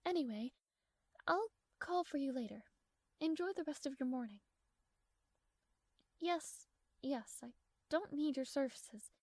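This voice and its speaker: A woman's voice: high-pitched, lively intonation, a little slow, pauses often, no room sound. A woman playing a proud, strong-willed young princess in a romantic fantasy audio roleplay, speaking formally with haughty, flustered hesitations. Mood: bossy, formal.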